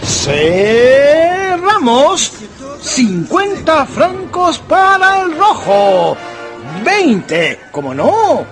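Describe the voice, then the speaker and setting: An actor in a radio drama playing a courteous, showy casino croupier who calls out bets with drawn-out, projected words. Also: male voice, very high voice, wildly swooping pitch, fairly bright tone, faint music behind, exaggerated voice. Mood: announcing.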